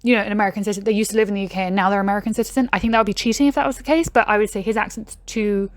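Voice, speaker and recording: A woman's voice: mid-range voice, steady tone, very fast, no room sound. A British woman hosting a reaction video, chatting casually and laughing often. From Britain. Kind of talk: casual commentary.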